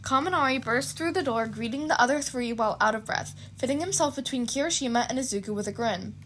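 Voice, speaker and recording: A woman's voice: high-pitched, quick pace, a little hiss or hum. A woman narrating fan fiction expressively, with casual asides.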